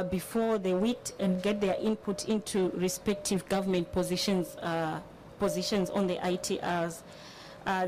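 A woman speaks at a table microphone in a large conference hall, presenting a list of recommendations. Her delivery is halting, full of hesitations and restarts. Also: mid-range voice. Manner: hesitant, many filler sounds and restarts. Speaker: panelist reporting recommendations.

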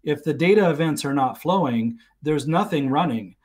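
A man's voice: a little high, lively intonation, normal speed, darkish tone. A middle-aged man giving explanatory technical talk as a guest expert on a livestream video call, thinking aloud and qualifying his points as he goes. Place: small attic room at home.

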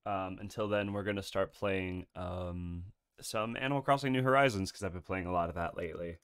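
A man's voice: sits low, a bit bright, clean tone. A man streaming a game, chatting casually and hesitantly with many ums while he has a cold. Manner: halting, full of ums, nervous laughs. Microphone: desk microphone.

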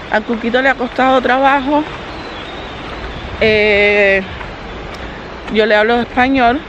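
A woman vlogging as she walks outdoors, holding the camera herself and chatting straight to her viewers. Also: mid-range voice. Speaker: family vlogger. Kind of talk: casual walking vlog. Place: outdoors by a road, walking. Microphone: handheld camera. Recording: noisy outdoor street audio. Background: traffic and street noise.

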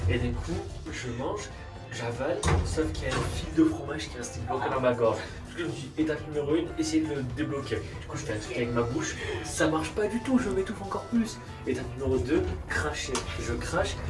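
A man's voice: medium pitch, roomy sound, distant mic. A man in his twenties playfully telling a story in casual chat beside a vlogger. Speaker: vlogger's companion. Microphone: handheld camera at arm's length. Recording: music playing underneath.

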